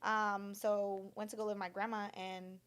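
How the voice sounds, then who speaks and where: A young woman whose first language was Portuguese, chatting on a casual podcast. Her voice sits at an ordinary middle pitch, and she tells stories bluntly, swearing freely and getting animated as she goes. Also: moderate pace. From born in Florida, raised partly in Brazil. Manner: blunt, profane, animated storytelling. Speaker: podcast co-host. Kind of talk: casual chat.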